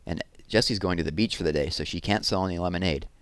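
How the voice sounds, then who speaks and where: A man narrating a children's chapter book expressively, leaning deliberately on key words for drama. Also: sits low, fairly bright tone, smooth, no room sound. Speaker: read-aloud narrator.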